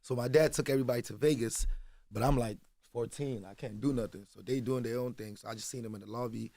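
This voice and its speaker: A man's voice: medium pitch, steady tone, fast, warm tone, no echo, studio quality. A man from Nigeria, a guest musician chatting loosely in an interview, leaning on "you know what I'm saying.". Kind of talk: casual interview chat. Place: radio studio. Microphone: studio microphone.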